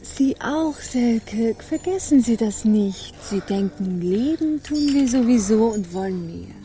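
Eine Schauspielerin in einem deutschen Hörspiel, die eine gefasste, höfliche, lebensmüde Dame auf See gemessen und förmlich spielt. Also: female voice, a little high, animated, dark tone. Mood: gently reproving. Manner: measured, formal. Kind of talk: German radio drama acting.

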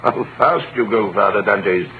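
An actor playing a nosy, teasing tailor neighbour full of sly hints, in 1930s radio drama style. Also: male voice, on the low side, a little slow. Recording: tinny vintage radio, faint noise.

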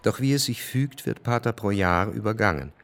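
A composed storyteller narrates a historical radio drama. His voice is low, and the delivery is clearly scripted narration. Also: normal speed, a bit bright, a little hiss or hum.

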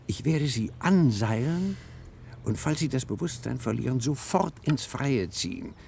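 An actor playing a determined, persuasive professor held as a patient, speaking earnestly in a radio drama. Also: male voice, medium pitch, lively intonation, a little slow, gravelly, darkish tone, slight background noise. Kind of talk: German radio play acting.

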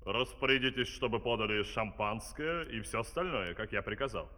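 Pompous dictator, ringing in a hall. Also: male voice, on the low side, normal speed, sharp tone. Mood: commanding, pompous. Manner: pompous, commanding. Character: imperious villainous dictator, a man issuing curt orders. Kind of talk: theatrical children's audio play acting. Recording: 1970s vinyl record, long hall reverb.

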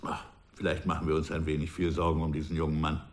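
Old ex-revolutionary, deep low voice, wistful and hushed, radio play. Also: male voice, monotone. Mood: reflective. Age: older. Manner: measured, wistful, often hushed. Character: aging male ex-revolutionary, reflective and proud. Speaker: former revolutionary recalling his past. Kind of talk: radio drama acting, reflective narration.

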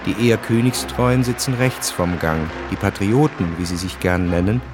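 A man's voice: fairly low pitch, moderate pace. Male radio drama narrator over music.

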